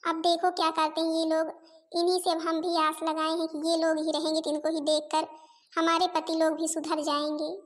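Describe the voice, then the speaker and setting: A woman's voice: very high-pitched, monotone, moderate pace. A woman voicing put-upon Indian daughters-in-law in a family comedy cartoon, with a complaining, pleading manner. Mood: resolute, aggrieved. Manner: complaining, pleading, addresses relatives and viewers directly.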